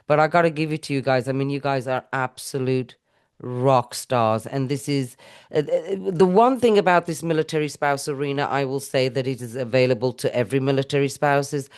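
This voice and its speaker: A middle-aged woman from India hosts a casual interview. Her voice is smooth and clear, and she gushes praise in long, rambling sentences full of restarts. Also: a bass voice, brisk, a little mellow. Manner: effusive, rambling, with self-corrections. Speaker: podcast host.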